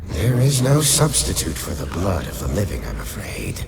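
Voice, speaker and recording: An actor playing a stern, imperious undead lich who speaks tersely into a vampire's mind in a dark fantasy radio play. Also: male voice, deep voice, wildly swooping pitch, moderate pace, gravelly, full character voice. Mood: grave, measured. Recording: music behind the voice.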